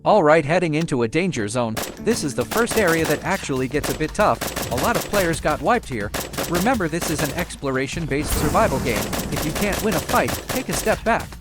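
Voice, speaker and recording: A man's voice: fairly high pitch, lively intonation, a little fast. A man giving a chatty, informal, playfully boastful video game walkthrough commentary, talking casually to viewers.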